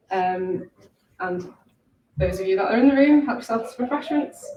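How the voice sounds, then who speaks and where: A woman's voice: mid-range voice, dark tone. A woman giving an informal presentation to a room, hesitant and a little self-conscious. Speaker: presenter, audio drama creator and director. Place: indoor room at a live presentation. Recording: echoey room, distant mic, faint noise.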